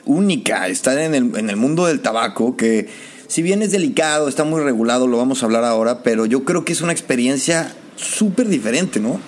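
A man's voice: mid-range voice, lively intonation, fairly quick. A man hosting a podcast, introducing and interviewing in an informal, enthusiastic way. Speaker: podcast host. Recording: music behind the voice.

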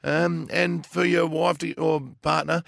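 A man's voice: on the high side, flat delivery, measured pace, vocal fry, fairly bright tone, dry recording, clean studio sound. A man co-hosting a casual show, teasing and laughing often. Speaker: radio show co-host. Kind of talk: casual banter.

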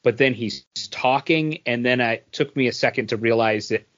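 A man's voice: mid-range voice, moderate pace, fairly bright tone, muffled recording. A man co-hosting a podcast, chatting casually and bluntly about what he has read, with some casual swearing. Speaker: podcast co-host reviewing comics. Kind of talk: casual chat.

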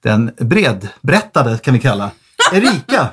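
A man's voice: mid-range voice, very animated, measured pace, fairly bright tone, slightly rough. A man co-hosting a casual podcast, joking and playful. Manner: jokey, playful interviewer. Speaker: podcast co-host.

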